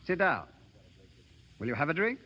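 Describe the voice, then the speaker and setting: An actor playing a courteous, composed retired professor in 1930s radio style. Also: male voice, fairly high pitch, very animated, normal speed, big-room sound, a crowd in the background. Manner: genteel, composed. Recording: muffled 1930s radio broadcast.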